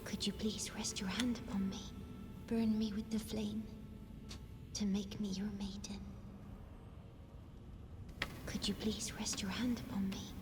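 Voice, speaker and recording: A devout young maiden of a dark fantasy game, acted by a woman, speaks in long reverb over clear music. Her voice is breathy and whispery, and she delivers each phrase slowly, like a solemn incantation. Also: medium pitch, level tone, natural voice. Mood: pleading, hushed. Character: devout young blind maiden of a chaos flame, fervent and solemn.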